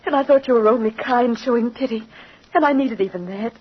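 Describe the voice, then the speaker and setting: A muffled old radio recording with faint noise carries a woman acting a downtrodden young daughter. Her high voice is plaintive and timid, full of melodramatic yearning. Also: fairly quick, lightly acted. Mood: overwhelmed, loving.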